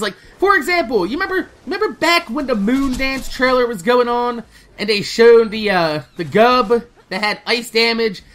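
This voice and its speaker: Female gaming host: bright, forceful, fairly high voice, casual and animated, loose informal delivery with swearing. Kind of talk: casual excited game news commentary.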